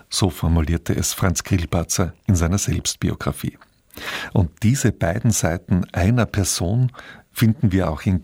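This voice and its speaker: Low-pitched male voice, slightly raspy, measured radio presenter, dry studio sound. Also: a little mellow, no echo. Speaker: radio presenter introducing a radio play. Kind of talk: scripted narration, cultural radio introduction.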